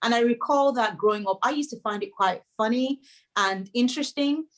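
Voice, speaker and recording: A woman's voice: fairly high pitch, normal speed. Thoughtful woman novelist, Yoruba first language. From Nigeria. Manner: thoughtful, with frequent restarts and hedging phrases. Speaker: novelist being interviewed. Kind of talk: reflective interview answers.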